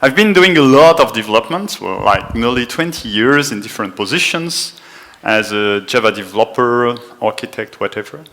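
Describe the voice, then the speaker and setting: A man's voice: mid-range voice, expressive, normal speed, fairly bright tone. A man from France in his forties, with a French accent, gives a technical conference talk in a chatty, self-deprecating way, with frequent fillers. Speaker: conference speaker. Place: conference room.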